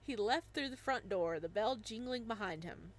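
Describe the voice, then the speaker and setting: A woman's voice: medium pitch, animated, normal speed. A woman reading a visual novel aloud, narrating and adding giggly, chatty asides.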